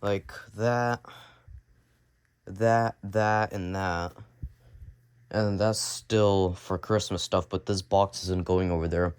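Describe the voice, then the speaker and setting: Raspy deep-voiced young man, sick. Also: steady tone, slow, pauses often. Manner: rambling, repeats and restarts as he shows things. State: sick, coughing. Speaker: vlogger. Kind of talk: casual home vlog tour. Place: home basement, indoors.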